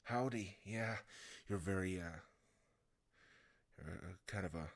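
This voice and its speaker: Low-voiced, rambling tired male streamer. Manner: rambling, halting, many fillers and restarts. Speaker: live streamer. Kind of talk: casual live-stream chat to viewers.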